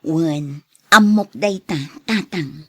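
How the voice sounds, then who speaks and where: A woman's voice: low-pitched, slow pace, bright, forceful tone, dry recording, clean studio sound, exaggerated voice. A woman playing a dutiful, long-suffering wife and mother in an Ilocano radio drama, earnest and reproachful. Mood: caring, earnest.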